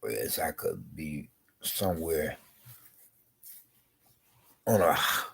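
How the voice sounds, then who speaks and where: A man vlogging slowly and rambling, congested with a scratchy throat, repeating "you know". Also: rumbling low, sing-song, gravelly, fairly bright tone, slightly rough. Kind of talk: rambling personal vlog.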